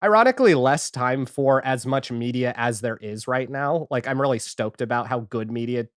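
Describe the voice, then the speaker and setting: A man's voice: medium pitch, moderate pace, no echo, studio quality. A man chatting casually as a podcast guest, enthusiastic and rambling, with lots of filler 'like'. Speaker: podcast guest, audio fiction creator. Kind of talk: casual chat.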